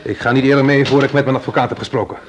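A man's voice: mid-range voice, fairly even intonation, rapid-fire, close to an everyday voice. A man playing a suave, easily indignant nightclub owner in a Dutch radio drama, with a polished, haughty manner.

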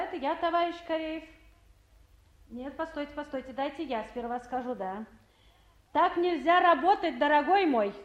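An actress playing a brisk, commanding woman town official in a 1950s Soviet radio drama. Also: very high voice, highs cut off. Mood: firm, reproachful. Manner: businesslike, commanding, conversational. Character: brisk, bossy female town council official, warm underneath. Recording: roomy old radio studio recording.

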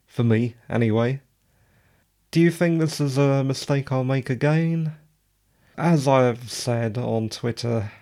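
A man with a slightly croaky voice, chatting casually and digressively as a podcast host. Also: medium pitch, a little mellow. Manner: chatty, digressive, self-deprecating. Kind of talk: casual solo podcast talk.

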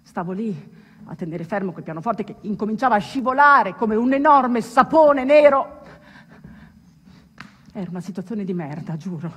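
A woman acting as a ship's trumpet player, telling a wondrous memory as a dramatic, colloquial stage monologue with pauses and asides. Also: medium pitch, slightly rough. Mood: confiding. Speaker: stage actor performing a theatre monologue. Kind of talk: theatrical storytelling monologue. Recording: long hall reverb, music behind.

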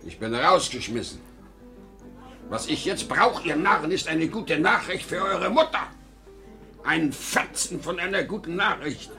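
Older salesman dad, irritable and desperate, pitch jumping all over, old radio play. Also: animated, measured pace, pushed and bright, soft background music, heavily acted. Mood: bitter, desperate. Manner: volatile, emphatic, theatrical. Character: ageing salesman father, desperate, self-deluding, irritable. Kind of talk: 1950s German radio drama acting.

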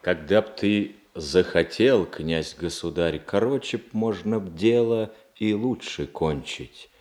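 An actor playing an insinuating, conspiratorial boyar in a classical radio play. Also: male voice, low-pitched, slow pace, darkish tone. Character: insinuating, conspiratorial boyar plotting against the tsar. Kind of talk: classical stage acting for radio.

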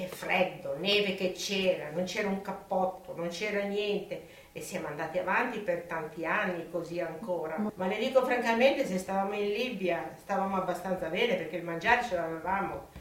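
Elderly woman remembering, roomy and distant. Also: lowish voice. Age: 70s or older. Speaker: witness giving testimony. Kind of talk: personal recollection, plain conversational storytelling. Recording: roomy, distant video interview sound.

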